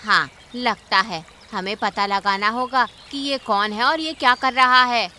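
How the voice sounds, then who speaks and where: A woman's voice: fairly high pitch, measured pace, pushed and bright, slight background noise. A woman voicing a cautious, determined female bird in a cartoon, with an earnest, urgent way of talking. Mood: wary. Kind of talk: cartoon character acting.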